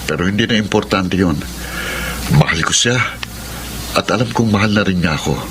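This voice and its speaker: An actor playing a devoted, determined romantic hero in a Filipino radio drama, earnest and sentimental. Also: male voice, deep voice, normal speed, background noise.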